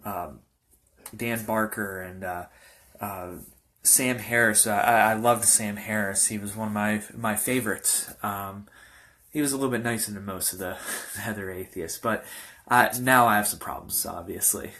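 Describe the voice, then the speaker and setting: A young man in his early twenties speaks as a pastor sharing his testimony. His voice sits slightly low. He talks informally and haltingly, wandering loosely from phrase to phrase. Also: bright, forceful tone. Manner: halting, loosely rambling delivery. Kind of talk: informal testimony talk to camera.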